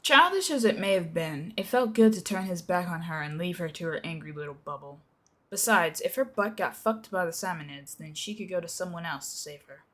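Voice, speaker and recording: A woman's voice: on the low side, expressive, a little fast, fairly bright tone. A young woman narrating a dramatic reading of a fan-fiction story. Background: music.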